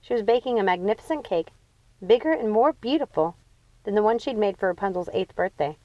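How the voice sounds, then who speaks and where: A woman reading a children's fantasy novel aloud as expressive scripted narration. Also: mid-range voice, brisk, dry recording, highs cut off. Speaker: audiobook reader.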